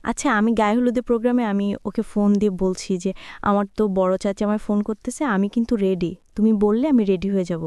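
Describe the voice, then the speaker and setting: A woman's voice: mid-range voice, steady tone, brisk, darkish tone, clean tone, no room sound, studio quality. A young woman with a Bangladeshi accent telling her own life story conversationally, relaying remembered dialogue as she goes. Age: young adult.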